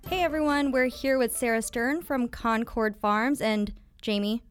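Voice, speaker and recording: A woman's voice: fairly high pitch, unhurried, clean tone, soft background music. A woman from Kansas co-hosting a podcast, upbeat and teasing, introducing guests. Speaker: podcast co-host. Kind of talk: casual podcast chat.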